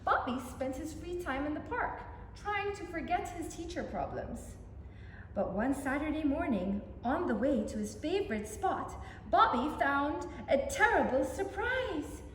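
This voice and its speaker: High-pitched woman reading a picture book. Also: measured pace. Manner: animated, asks listeners questions. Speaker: storyteller for young children. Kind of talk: picture book read-aloud. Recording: echoey reverberant room, faint background noise.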